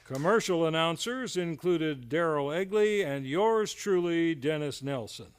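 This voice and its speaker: High-voiced man announcing a radio show. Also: lively intonation, a little slow, clean tone. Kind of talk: scripted old-time radio announcing, closing credits. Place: live on a theater stage.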